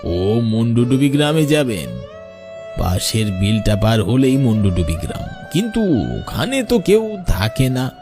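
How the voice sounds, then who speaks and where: Man doing a wary cartoon villager with a lantern, slow talk, music under it. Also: medium pitch, big pitch swings.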